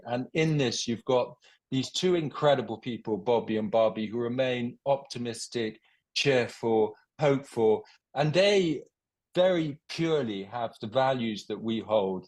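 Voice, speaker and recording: A man who grew up in Uganda, speaking as a film director guest. His pitch sits in a middle range, and he talks in an earnest, measured way. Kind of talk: interview answer.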